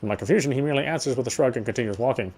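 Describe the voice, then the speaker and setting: A man's voice: medium pitch, racing, darkish tone, breathy edge. A man reading first-person visual novel text aloud as narration, stumbling now and then over words.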